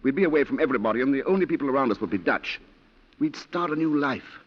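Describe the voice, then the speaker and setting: An actor playing an eager, trusting husband in a 1940s radio drama, stammering and repeating his words excitedly. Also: medium pitch, fast, close to an everyday voice. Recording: muffled 1940s radio broadcast.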